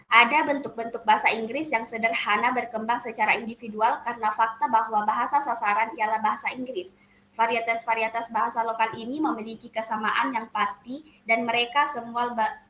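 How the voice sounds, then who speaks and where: A woman's voice: on the high side, a bit bright, reverberant, a little hiss or hum, low-quality recording. A young woman giving a class presentation, reading her material with frequent hesitant fillers and restarts. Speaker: student presenter.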